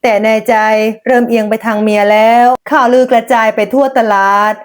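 High-voiced woman telling a folk tale. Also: steady tone, brisk. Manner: dramatic, descriptive storytelling. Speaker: storyteller. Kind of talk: scripted folk tale narration.

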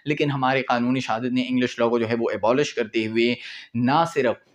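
A man's voice: medium pitch, fairly even intonation, fairly quick. A man in his twenties with a Pakistani accent, lecturing informally on law and explaining as he goes, mixing in English legal terms. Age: 20s. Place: small indoor room with whiteboard.

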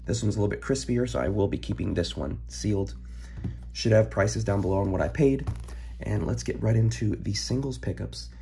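A young man with a low, gravelly voice made hoarse by a recent illness, chatting in a relaxed, rambling way with ums and the odd throat clear. He talks over his desk at home, caught by a camera mic, with a faint hiss behind him. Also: fairly quick. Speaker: card-collecting channel host. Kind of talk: casual pack-opening chat.

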